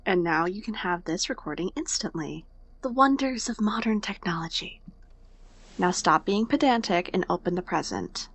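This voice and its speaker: A woman's voice: fairly low pitch, expressive, normal speed. A woman acting in an audio drama as a sardonic young woman, blunt and teasing but fond underneath. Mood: playful teasing. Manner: dry, blunt, teasing sarcasm. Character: sardonic young woman, hurt but fondly teasing older-sister type.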